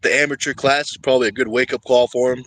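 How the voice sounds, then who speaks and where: A man's voice: medium pitch, fairly even intonation, fast talker, a bit bright, no room sound. An easygoing man hosting a casual livestream and chatting with viewers about his sport. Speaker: livestream host. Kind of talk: casual livestream chat about arm wrestling.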